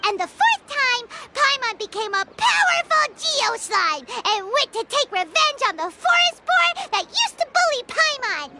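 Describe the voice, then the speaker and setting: A woman voicing a tiny, excitable fairy companion in a video game, chatty and boastful, with a squeaky childlike voice, speaking of herself in the third person. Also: unhurried, few pauses, heavily acted. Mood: excited, boastful. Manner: refers to self by name in third person. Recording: clean studio voice over game music.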